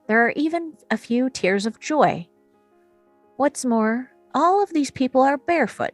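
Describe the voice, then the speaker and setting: A woman's voice: high voice, lively intonation, measured pace, no room sound, faint music behind. A woman narrator reading screenplay action and scene descriptions at a table read. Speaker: narrator reading stage directions.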